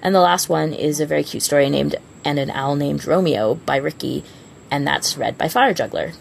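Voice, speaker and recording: A woman's voice: sits low, moderate pace, creaky, slight background noise. A woman co-hosting a podcast in casual, unscripted chat. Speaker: podcast co-host.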